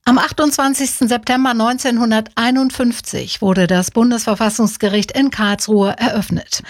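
A woman reporter gives a factual radio news piece. She speaks clearly and evenly. The recording is dry and close. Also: mid-range voice, no room sound. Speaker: news correspondent.

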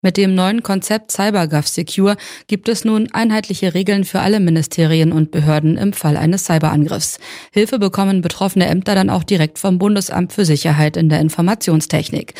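Lower-pitched female news reporter. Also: a little mellow, no echo, clean studio sound.

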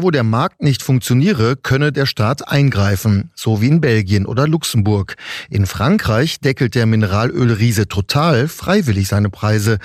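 A man's voice: mid-range voice, a little mellow, no room sound, studio quality. A man delivering a scripted news report as a radio correspondent.

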